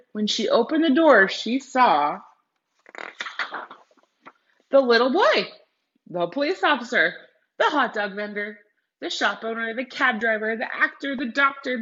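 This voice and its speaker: A woman's voice: on the high side, very animated, normal speed, a bit bright, lo-fi sound, a little hiss or hum. A woman teacher doing a read-aloud lesson for children.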